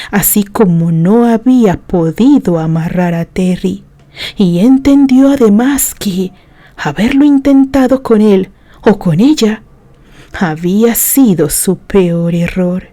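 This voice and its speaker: A woman from Mexico narrating a written story in a gentle storytelling manner, reading from a script. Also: mid-range voice. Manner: slow, gentle storytelling, softening to a hush. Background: background music.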